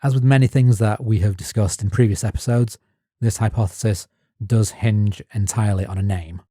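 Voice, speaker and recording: A man co-hosting a podcast, reading his prepared notes as measured narration. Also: on the low side, steady tone, dark tone, no echo, studio quality. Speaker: podcast co-host. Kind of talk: scripted narration.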